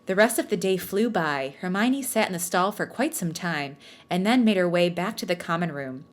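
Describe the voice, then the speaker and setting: A woman with a slightly low voice hosts a comedy podcast, reading stories aloud. She moves between narration and bantering, self-deprecating asides in a casual way. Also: animated, normal speed. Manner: jokey, self-mocking, bantering. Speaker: podcast host reading fan fiction aloud. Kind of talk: storybook-like read-aloud with casual joking asides.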